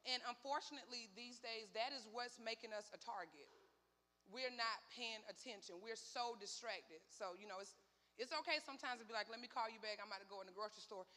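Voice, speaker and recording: A woman talks through personal safety as a sheriff's deputy, easy and casual, with fillers. Her voice is bright and forceful. A long hall reverb trails behind it. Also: on the high side, fairly quick. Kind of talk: safety training talk. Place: church hall.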